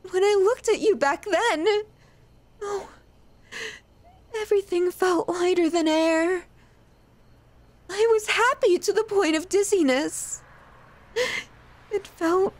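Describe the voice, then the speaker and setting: A woman performs an unhinged yandere woman, obsessive and possessive in her love. Her voice sits high and she draws her words out slowly. The delivery is a halting, emotional and dramatic ASMR roleplay monologue. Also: darkish tone, dry recording, exaggerated voice.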